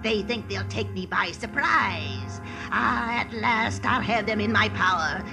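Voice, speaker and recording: A woman's voice: medium pitch, measured pace, gravelly. An actress playing a gleeful, gloating wicked witch in a vintage radio drama, with a cackling manner. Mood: menacing glee. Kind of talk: 1950s radio drama acting. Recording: vintage radio broadcast with music.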